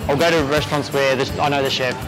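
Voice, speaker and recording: A young adult man with an Australian accent, talking casually as an interview guest, halting and restarting often. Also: mid-range voice, steady tone, music in the background, low-quality recording. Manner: easygoing, halting with many restarts and fillers. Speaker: executive chef, interview guest.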